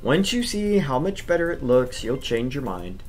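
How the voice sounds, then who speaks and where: A man's voice: mid-range voice, very animated, normal speed, darkish tone, soft background music, lightly acted. A man voicing an exasperated, easily flustered teenage boy who scolds and stammers in a visual novel. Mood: scolding. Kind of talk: visual novel read-aloud.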